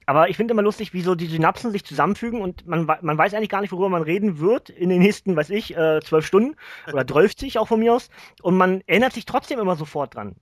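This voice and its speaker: A man's voice: sits high, expressive, fast talker, dry recording. A man chatting casually as a podcast host. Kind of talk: casual nerd chat.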